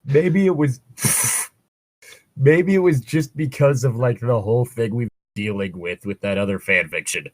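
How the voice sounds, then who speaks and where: A man's voice: mid-range voice, a little slow, no echo, low-quality recording. A man reading a story aloud as a co-host, slipping into casual, sarcastic, mock-exasperated asides. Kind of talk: read-aloud narration with casual joking commentary.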